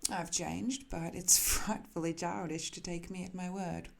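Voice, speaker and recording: Lady doing an ancient villain creature for asmr roleplay, lowish creaky voice, hesitant and musing, soft menace with whispery bits. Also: steady tone, measured pace. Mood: amused, fond. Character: ancient cursed living weapon, softly menacing, wistful villain. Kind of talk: intimate ASMR roleplay audio drama.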